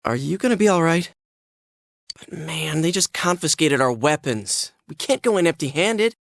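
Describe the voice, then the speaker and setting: A man voicing an excitable, earnest teenage boy in a video game dub, with a slangy, blurting way of talking. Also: a little high, normal speed, vocal fry, no echo, studio quality. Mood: indignant, determined.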